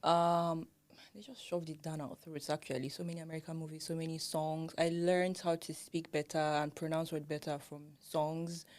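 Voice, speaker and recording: A young woman with a Nigerian accent, co-hosting a podcast in casual, animated chat and laughing often. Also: sits low, moderate pace. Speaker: podcast co-host.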